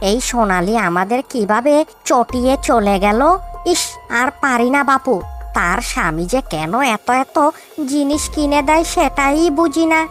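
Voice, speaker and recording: A woman voicing a bossy, scheming monkey housewife in a cartoon, scolding and exclaiming with a quick temper. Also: fairly high pitch. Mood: envious. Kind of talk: cartoon voice acting. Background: background music.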